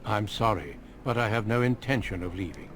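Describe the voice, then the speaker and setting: An actor playing a calm, confident veteran warrior in a fantasy video game, speaking with measured, formal courtesy. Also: male voice, on the low side, slight background noise, natural voice. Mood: calm, unyielding.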